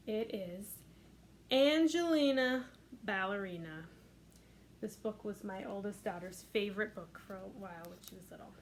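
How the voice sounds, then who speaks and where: A middle-aged woman, a children's librarian, reading a picture book aloud at storytime in a gentle, expressive voice with sing-song lifts. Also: medium pitch.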